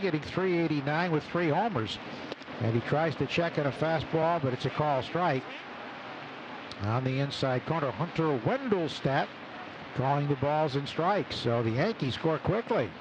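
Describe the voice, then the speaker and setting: A man's voice: a little high, moderate pace. A man doing live radio baseball play-by-play in clipped short phrases. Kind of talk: live radio sports commentary. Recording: noisy outdoor ballpark radio broadcast. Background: steady ballpark noise.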